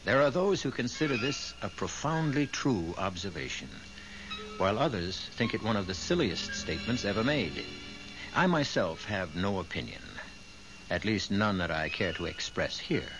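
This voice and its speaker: A man acting as a wry storyteller host, narrating a 1970s radio drama in a measured way. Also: lowish voice, lively intonation, lightly acted, poor audio. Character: wry male storyteller host of a mystery play. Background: prominent music.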